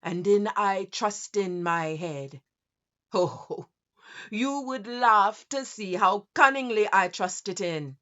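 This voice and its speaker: A woman voicing a nervous, obsessive mad narrator in a Grenadian accent, in a deliberate, theatrical reading of a gothic horror story. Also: medium pitch, lively intonation, pushed and bright, lo-fi sound. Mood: cunning, gloating. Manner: deliberate, theatrical, halting phrases. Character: nervous madman narrator insisting on sanity, obsessive and cunning.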